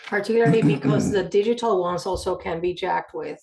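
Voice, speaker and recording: A woman's voice: fairly low pitch, fairly quick, gravelly, over a noisy crowd. A woman co-presenting in an informal, explanatory way, direct and practical, checking for agreement as she talks.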